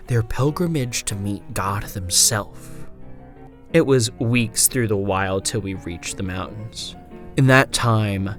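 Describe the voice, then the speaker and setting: A man performing a frontier gunslinger's first-person horror narration, in a hushed, deliberate delivery with drawn-out phrases and swelling emphasis. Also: lowish voice. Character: woman frontier gunslinger recounting her haunted, lost memories. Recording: music bed under the narration. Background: music.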